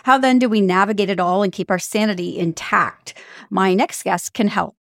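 A woman's voice: mid-range voice, normal speed, creaky, no room sound, studio quality. A woman hosting a podcast interview, friendly and reflective, sharing personal stories. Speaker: podcast host.

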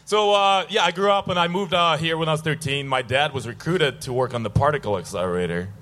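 A young man from Russia, a guest on a live podcast on a festival stage, talking with many hesitant pauses. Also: on the high side, sharp tone. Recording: live stage with crowd noise.

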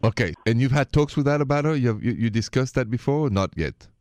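A man's voice: medium pitch, lively intonation, quick pace, darkish tone, no room sound. A man from Paris with a French accent, hosting a call-in radio show and giving relationship advice in a conversational, direct way. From Paris, France.

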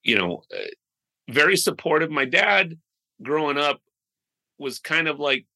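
A man chatting as a podcast guest, telling stories with animated restarts and trailing phrases. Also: on the high side, unhurried. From United States. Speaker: guest musician. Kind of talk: casual interview chat.

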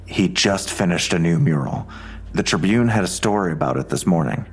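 An actor playing a terse, skeptical police detective in an audio drama, with a dry, deliberate, understated way of talking. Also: male voice, low voice, lively intonation, breathy edge, heavily acted. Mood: measured, wary. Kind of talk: full-cast audio drama dialogue.

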